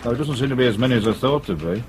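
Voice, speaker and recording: A sardonic British police detective played by a male actor leads with dry assurance in a naturalistic radio drama. His man's voice sits at a medium pitch and gives orders with cool command, over a faint bed of noise. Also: lively intonation, rapid-fire, close to an everyday voice. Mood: pleased, brisk.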